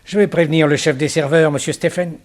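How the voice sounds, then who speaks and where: An actor playing an obliging, effusive grand hotel director in an early sixties radio drama, polished and eager to please. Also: male voice, on the high side, steady tone, fast talker, close to an everyday voice.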